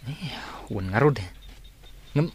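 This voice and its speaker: A man's voice: medium pitch, animated, slow pace, slightly rough, heavily acted. A man playing an easygoing, hopeful household helper in an Ilocano radio drama, with an Ilocano accent.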